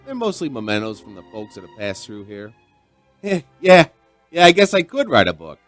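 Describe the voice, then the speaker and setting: Chatty neighborhood barkeep for radio drama: folksy, rambling, mid-pitched, very varied intonation, music underneath. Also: male voice, wildly swooping pitch, normal speed. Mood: affable, chatty. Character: chatty, folksy male bartender and bar owner, storyteller.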